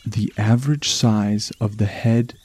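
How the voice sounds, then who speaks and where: A man with a Canadian accent hosting a calm relaxation podcast, speaking in a soothing, unhurried way. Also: on the low side, husky, no room sound. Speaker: podcast host. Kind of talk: slow relaxation podcast narration.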